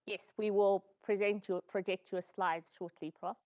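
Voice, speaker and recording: A woman's voice: mid-range voice, fairly even intonation, a little slow, darkish tone, no echo. Female panel moderator, dry close sound.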